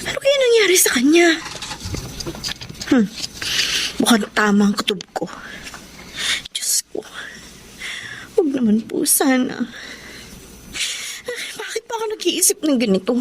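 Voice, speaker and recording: Anxious girl in her youth, high voice, hesitant, radio soap, faint noise. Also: sing-song, measured pace, long pauses. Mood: worried. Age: young adult. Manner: hesitant, stammering. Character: anxious young woman hiding a secret. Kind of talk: Tagalog radio drama acting.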